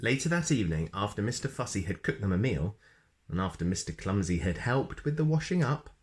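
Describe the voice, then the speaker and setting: A man narrates a children's storybook in a fairly low voice. His intonation is very lively, rising and dropping for playful emphasis. He leaves dramatic pauses between phrases. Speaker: storybook reader.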